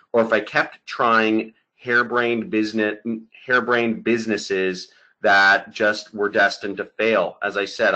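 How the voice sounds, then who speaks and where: A man presenting a sales webinar over slides, talking in an energetic, emphatic, salesy way. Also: on the low side, highs cut off.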